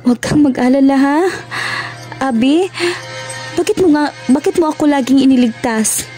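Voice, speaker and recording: A woman plays an earnest young activist heroine in a radio play. Her high, mellow voice is tender and reassuring. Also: moderate pace, soft background music, close to an everyday voice. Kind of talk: amateur radio drama acting.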